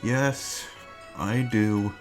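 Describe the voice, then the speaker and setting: A man acting an earnest, reserved, homesick young operative in a dramatic reading, with measured, formal phrasing. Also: on the low side, steady tone. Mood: awkward, reserved. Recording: loud background music. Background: loud music.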